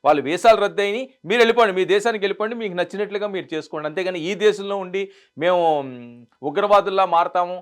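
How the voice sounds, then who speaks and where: Higher-pitched man giving news commentary. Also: racing, a bit bright. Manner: emphatic, repeats phrases for stress. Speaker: news presenter.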